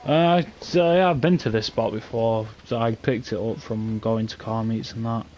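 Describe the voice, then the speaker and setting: Laid-back English gamer, mellow voice. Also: male voice, medium pitch, normal speed, slight background noise, low-quality recording. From England. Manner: relaxed, offhand, chatty with viewers. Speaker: streamer hosting a car meet. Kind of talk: casual gaming stream chat.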